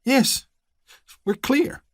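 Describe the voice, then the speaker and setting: A man's voice: high voice, sing-song, moderate pace, dry recording, studio quality, pitched above the performer's own voice. A man voicing a frightened rural man who pleads in a quavering voice. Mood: pleading.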